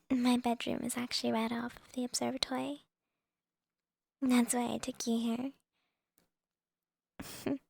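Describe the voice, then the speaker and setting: Young female vampire with a crush, acted by a woman: higher-pitched, unhurried, whispery ASMR roleplay, soft, shy and playful. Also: exaggerated voice. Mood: shy, gentle. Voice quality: breathy, whispery.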